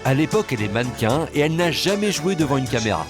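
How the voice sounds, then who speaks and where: A man narrates a TV documentary from a prepared script, in a mid-pitched voice. Music plays clearly behind him. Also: big pitch swings, quick pace.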